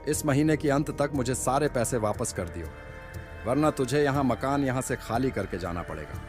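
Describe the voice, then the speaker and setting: A man's voice: mid-range voice, lively intonation, normal speed, over music, close to an everyday voice. A man playing a stern, demanding rich landlord in a moral-story cartoon, speaking in a curt, imperious way. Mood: stern, threatening.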